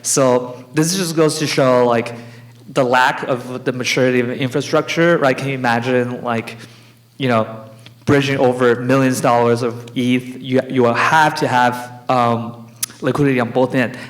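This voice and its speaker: A man's voice: mid-range voice, fairly even intonation, moderate pace, rough recording. Man explaining on a conference panel. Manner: explanatory, tags points with "right?". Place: conference hall.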